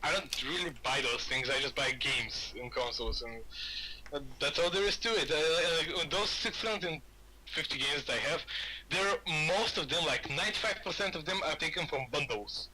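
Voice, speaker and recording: A man's voice: on the high side, normal speed, bright, forceful tone, a little hiss or hum, rough recording. A man from Bulgaria chatting casually on a gaming podcast, rambling and often repeating his words. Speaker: podcast host.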